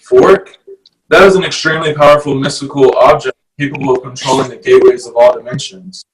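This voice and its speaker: A man's voice: mid-range voice, fairly even intonation, fairly quick, far from the mic, crowd noise, close to an everyday voice, poor audio. An actor at a table read playing a stern, grumpy fish-man guardian with a dry, exasperated manner.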